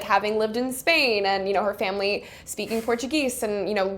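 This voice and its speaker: A young woman in her twenties, a film director talking casually in an interview, laughing often and speaking in long flowing runs. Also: medium pitch. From United States.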